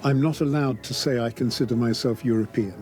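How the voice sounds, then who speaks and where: A man's voice: medium pitch, a little fast, darkish tone, soft background music. Dry-humored college head, man. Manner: dry, self-deprecating humor. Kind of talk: relaxed interview.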